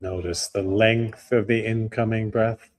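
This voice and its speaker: A man guiding a breathing meditation, speaking slowly and calmly with long pauses. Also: lowish voice. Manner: slow, calm, with long pauses. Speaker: meditation guide.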